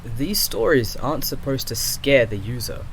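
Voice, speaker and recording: Man acting exasperated male inventor, audio roleplay, sarcastic, mid-pitched, some background noise. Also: very animated, normal speed, creaky, fairly bright tone, rough recording. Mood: concerned, scolding. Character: exasperated male creator and programmer of an archive AI.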